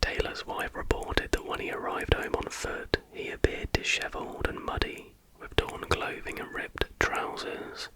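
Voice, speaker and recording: A man whispering in a breathy, hushed voice, reading aloud informally in an ASMR style. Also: unhurried, hardly any pauses. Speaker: ASMR host. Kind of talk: whispered ASMR reading of stories with casual asides.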